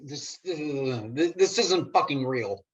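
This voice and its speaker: Casting: woman as reluctant male sceptic in stage comedy, husky, animated, incredulous and argumentative. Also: extremely low pitch, slow pace, heavily acted. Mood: angry. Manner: indignant, sarcastic, incredulous. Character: Dave, exasperated, argumentative young man, the reluctant sceptic. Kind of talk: comic script table reading. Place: remote video call.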